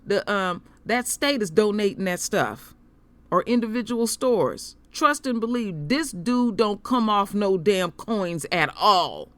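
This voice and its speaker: A man's voice: expressive, slow, fairly bright tone, smooth, dry recording. Man, middle-aged, commentary host, impassioned, preachy, ranting. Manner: impassioned, preachy, addresses listeners as royal family; heated and ranting, rising pitch when angry. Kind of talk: unscripted spoken commentary.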